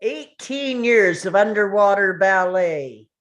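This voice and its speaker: A woman at a table read playing a tough, exasperated aunt with a drawn-out, emphatic delivery. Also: medium pitch, animated. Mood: exasperated, defiant.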